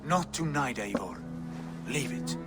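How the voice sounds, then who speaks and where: An actor playing a proud male warrior prince who speaks in a commanding, steady way. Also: mid-range voice, slow pace, loud music behind the voice. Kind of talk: video game cutscene acting.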